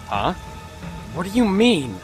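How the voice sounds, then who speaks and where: A man's voice: sits high, wildly swooping pitch, takes it slowly, music in the background, exaggerated voice. A man voicing a hot-headed, grumbling teenage schoolboy in an anime fan dub, blunt and exasperated. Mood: defiant.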